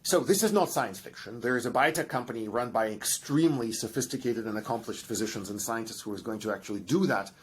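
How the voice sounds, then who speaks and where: A man's voice: medium pitch, quick pace, hardly any pauses, slight background noise. A man with a Russian accent, a genetics professor giving long, enthusiastic explanations with many restarts and fillers. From Russian by ancestry. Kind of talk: explanatory interview answers.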